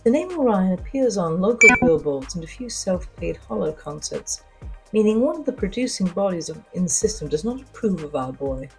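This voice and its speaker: A woman reading scripted first-person fiction as a narrator, in a deliberate, dramatic storytelling manner. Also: lowish voice, very animated, over music, poor audio.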